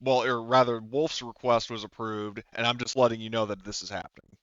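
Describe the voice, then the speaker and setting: Clear-voiced man explaining a tabletop game. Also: medium pitch, little pitch movement, fairly quick, fairly bright tone, dry recording, lo-fi sound. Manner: patient, step-by-step clarifying. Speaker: game master.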